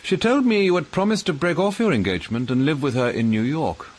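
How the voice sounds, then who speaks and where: An actor playing a witty, unflappable cabaret playwright in a radio drama, with an arch, dry, urbane manner. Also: male voice, fairly high pitch, animated, fairly quick. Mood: cool resolve. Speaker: lead actor in a radio play. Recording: faint background noise.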